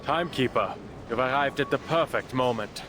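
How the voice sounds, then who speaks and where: A man's voice: medium pitch, normal speed, close to an everyday voice. An actor playing a dryly sarcastic male scientist in a video game. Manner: sarcastic, dry. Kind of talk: dramatic video game voice acting. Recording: clear background ambience noise.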